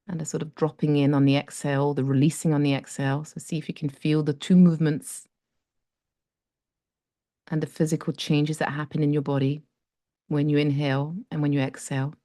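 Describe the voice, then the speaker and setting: A woman guiding a breathing meditation, speaking slowly and gently with long pauses. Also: sits low, flat delivery, dry recording, clean studio sound. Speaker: meditation guide.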